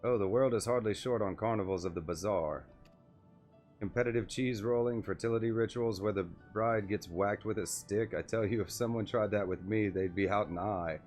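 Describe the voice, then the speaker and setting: A man's voice: lowish voice, normal speed, darkish tone, soft background music, close to an everyday voice. A man voicing a crabby, intimidating old female sorcerer from a fantasy game, dry, haughty and wordy. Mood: sardonic, ominous.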